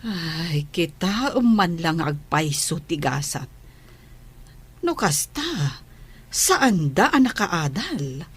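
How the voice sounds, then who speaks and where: A woman playing a gentle, curious, proud mother with a husky voice and Ilocano accent in a radio drama. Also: lowish voice, sing-song, slow pace, heavily acted. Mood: curious, musing.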